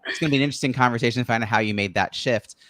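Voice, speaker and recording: A man's voice: mid-range voice, rapid-fire, fairly bright tone, no room sound, studio quality. A man hosting a podcast interview, outgoing and talkative, building up long conversational questions phrase by phrase.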